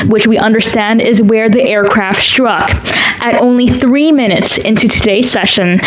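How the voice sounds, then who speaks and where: Serious news anchor lady acted, bit high pitched, formal delivery, slightly muffled broadcast. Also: close to an everyday voice. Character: grave woman news anchor covering breaking attacks. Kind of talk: audio drama acting, broadcast news.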